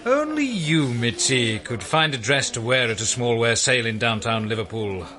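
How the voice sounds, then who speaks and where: A suave radio-play villain with a mocking streak. His delivery is arch and teasing, words stretched for effect, with lively swoops around a medium pitch. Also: normal speed. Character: suave, mocking male criminal and collector. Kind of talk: radio drama acting.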